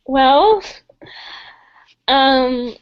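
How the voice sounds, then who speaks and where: A woman's voice: high voice, expressive, extremely slow, clear, resonant tone. A young woman from Denmark and Los Angeles, talking casually as a guest on a video call with many "like"s and "um"s, through a headset mic. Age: young adult. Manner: chatty, many fillers and "like". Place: small room indoors. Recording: dull lo-fi video call audio.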